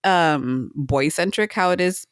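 A woman's voice: fairly low pitch, measured pace, dry recording, studio quality. A woman co-hosting a podcast in casual chat, thinking aloud in loose, hesitant phrases. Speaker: podcast co-host.

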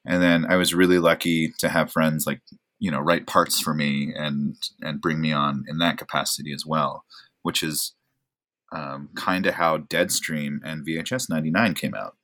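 Casting: low-voiced male actor, 30s, laid-back, self-deprecating guest. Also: moderate pace. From Oregon, USA. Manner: relaxed, rambling, self-deprecating, many fillers. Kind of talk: casual interview chat.

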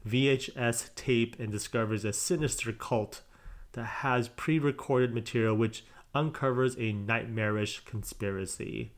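A man hosting a casual podcast chat, talking fast and laughing easily as he moves the talk along. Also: mid-range voice, fairly even intonation. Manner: quick, laughing, leads the topics. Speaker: podcast host.

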